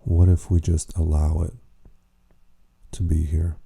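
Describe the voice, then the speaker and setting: Very deep, dark-voiced man guiding meditation. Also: level tone, creaky, husky. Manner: unhurried, gently questioning, with long pauses. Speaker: meditation guide. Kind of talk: slow spoken guided meditation.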